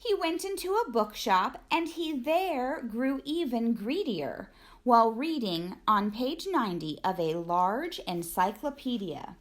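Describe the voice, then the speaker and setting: Slow, high-pitched woman reading a storybook. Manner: expressive, deliberate storytelling. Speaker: teacher reading a picture book aloud. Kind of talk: children's storybook narration, rhyming verse.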